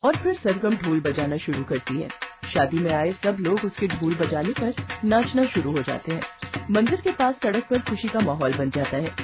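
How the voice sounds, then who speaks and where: A woman's voice: on the low side, big pitch swings, moderate pace, phone-quality sound, over music. A woman reading a scripted moral bedtime story as its narrator.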